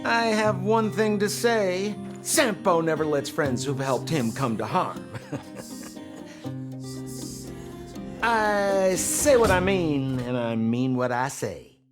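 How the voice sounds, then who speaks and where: A man's voice: a little high, lively intonation, slow, music in the background. A man voicing a glib, theatrical young rogue in a video game, wheedling and exaggerated. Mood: grandly reassuring. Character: glib, theatrical young male rogue, slippery and eager to please.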